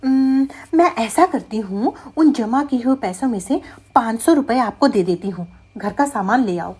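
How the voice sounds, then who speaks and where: A woman voicing a caring, practical village wife in an animated moral story, emphatic and coaxing. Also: on the high side, moderate pace, husky. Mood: concerned.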